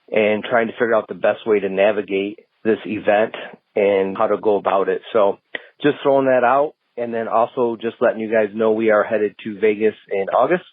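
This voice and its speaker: A man's voice: fairly low pitch, no room sound, narrow, tinny audio. A man in his forties, calling in with a casual voicemail over the phone. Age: 40s. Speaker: podcast caller.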